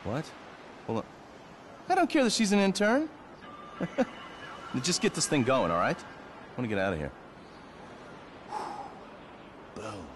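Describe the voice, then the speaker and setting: A man's voice: mid-range voice, big pitch swings, normal speed, long pauses, a little hiss or hum, natural voice. An actor playing a vain, cocky male federal agent who presents his own TV show in a pompous, melodramatic way. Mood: impatient, smug. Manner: pompous, self-satisfied, melodramatic. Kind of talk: hard-boiled TV presenting and off-camera bragging.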